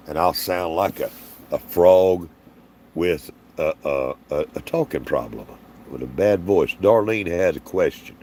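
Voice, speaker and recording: A man's voice: low voice, a little mellow, a little hiss or hum. A man hosting a casual livestream Q&A, folksy and rambling, reading out viewer comments in a tired, strained voice. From Alabama, US. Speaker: livestream host.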